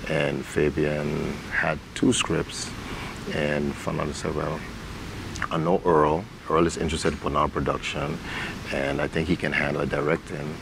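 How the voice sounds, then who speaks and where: A man's voice: very deep voice, flat delivery, normal speed. A man, an interview guest talking informally and telling stories in colloquial speech. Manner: informal, colloquial, acts out quoted dialogue. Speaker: interview guest, stage actor and director. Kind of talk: conversational storytelling. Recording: clearly noisy background.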